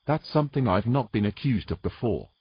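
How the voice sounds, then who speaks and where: A man playing a grumpy, reluctant knight in a romantic fantasy audio drama, grumbling and breathy. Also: medium pitch, lively intonation, a little fast, dry recording, lo-fi sound. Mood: wry. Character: grumpy, reluctant male knight learning to swim.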